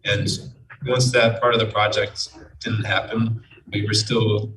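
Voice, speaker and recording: A man's voice: on the low side, flat delivery, measured pace. A man explaining his work as a game programmer and writer in an interview. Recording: distant mic with crowd chatter behind.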